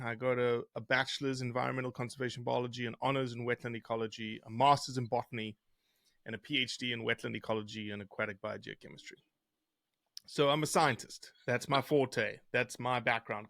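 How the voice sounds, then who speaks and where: A middle-aged man from South Africa with a softened South African accent, telling his story conversationally as an interview guest. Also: medium pitch, creaky, no echo. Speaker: interview guest, conservation scientist.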